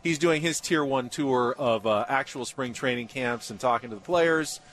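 A man's voice: mid-range voice, normal speed, heavy background noise. A man hosting casual live sports talk radio on location. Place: on location at a spring training complex.

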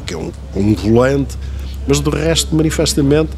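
A man's voice: mid-range voice, animated, normal speed, darkish tone. A man playing a veteran radio personality, a fussy, pedantic grumbler with a European Portuguese accent, in a comedic radio drama. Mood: nitpicking. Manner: pedantic, nitpicking, digressive. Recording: clearly noisy, room ambience.

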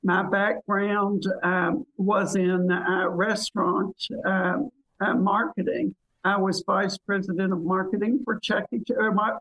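A woman's voice: mid-range voice, low-quality recording. An older woman with a Southern US accent, chatting enthusiastically as a guest on a radio talk show. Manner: warm drawl, enthusiastic, chatty. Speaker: guest, business mentoring chapter chair. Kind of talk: casual radio interview.

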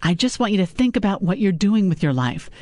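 A woman's voice: medium pitch, sing-song, fairly quick, a little mellow, no echo, clean studio sound, close to an everyday voice. A woman reading an audiobook, voicing a stern middle-aged mother who lectures her grown daughter in a pushy, scolding way.

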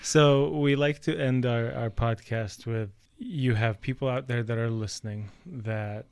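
A man's voice: mid-range voice, steady tone, a little slow, darkish tone, smooth, dry recording. A man hosting a podcast, asking long, rambling questions in a casual interview. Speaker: podcast host.

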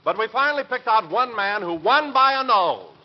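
High-voiced announcer on vintage radio. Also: male voice, animated, normal speed. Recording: dull, slightly noisy vintage radio recording.

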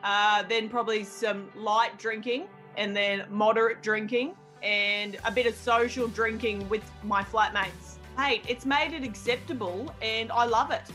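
A woman's voice: on the high side, fairly even intonation, measured pace, hardly any pauses, fairly bright tone, soft background music. A young woman who hosts a radio show, chatting casually in an interview with jokes and plenty of fillers. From Australia. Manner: jokey, self-deprecating, rambling with fillers. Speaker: radio host guest.

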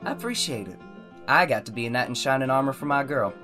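An actor playing a teasing, unflappable young boyfriend in a radio drama, wry and incredulous. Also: male voice, medium pitch, lively intonation, quick pace, sharp tone, soft background music. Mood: amused, teasing.

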